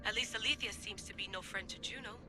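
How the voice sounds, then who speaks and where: A woman playing a confident, determined young assassin researcher in a game cutscene, brisk and casual. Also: mid-range voice, music in the background, natural voice. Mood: wry, musing. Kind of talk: video game cutscene acting.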